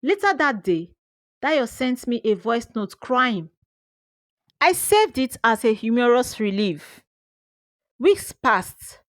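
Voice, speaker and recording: A woman with a Nigerian accent telling a scripted first-person story in an expressive, theatrical way. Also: a little high, slow, long pauses, no echo, clean studio sound. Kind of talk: dramatic first-person scripted folktale narration.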